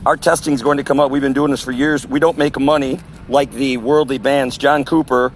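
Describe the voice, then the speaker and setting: A man preaching loudly and forcefully on an outdoor sidewalk, proclaiming to passersby. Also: medium pitch, fairly even intonation, fairly quick, slight background noise. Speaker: street preacher. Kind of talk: open-air street preaching.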